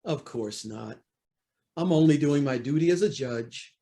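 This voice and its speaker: A man's voice: medium pitch, expressive, unhurried, gravelly, mellow. A man playing a troubled, guilt-ridden judge, abrupt and defensive, in old-time radio drama style. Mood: troubled, curt. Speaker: actor in a radio play.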